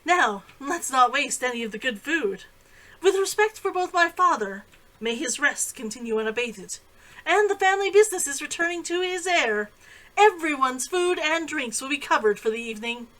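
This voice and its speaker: A woman reader plays a young heiress from a novel, proud and composed. The voice is high and clear, with a formal, speechmaking delivery. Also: animated, normal speed, sharp tone, rough recording. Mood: formal, assured.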